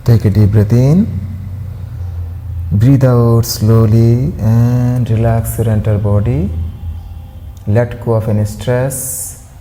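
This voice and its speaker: A man leading a guided meditation as an instructor, giving gentle, soothing, unhurried instructions with long pauses. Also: mid-range voice, steady tone, a little hiss or hum.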